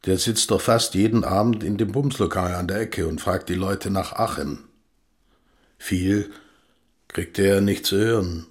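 An actor playing a gruff, guarded older East German father in a radio drama, speaking in terse, halting, plain phrases. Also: sits low, steady tone.

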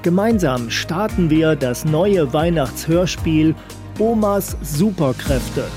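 A man's voice: a little high, expressive, takes it slowly, music in the background. A man presenting a radio programme in a scripted style.

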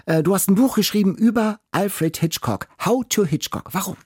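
Male interview host, slightly higher-pitched, husky, conversational and enthusiastic, dry sound. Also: expressive, moderate pace, dry recording. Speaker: podcast host.

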